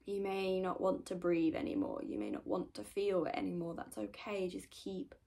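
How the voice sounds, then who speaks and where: Soft-spoken woman guiding meditation, creaky voice. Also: on the low side, lively intonation, normal speed. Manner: gentle, soothing, often trailing into breathy whispers. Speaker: meditation guide.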